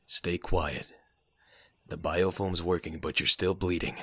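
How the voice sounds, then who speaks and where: A man's voice: low-pitched, steady tone, measured pace, fairly bright tone, husky, no echo, heavily acted. A man voicing a cold, stoic soldier and squad leader in an anime fan dub, speaking in a curt, restrained way. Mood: stern, restrained.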